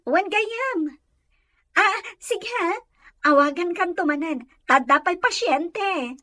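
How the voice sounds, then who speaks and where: A woman's voice: very high-pitched, animated, takes it slowly, bright, forceful tone, no room sound, exaggerated voice. An actress playing a chatty, friendly woman catching up with an old friend in an Ilocano radio drama.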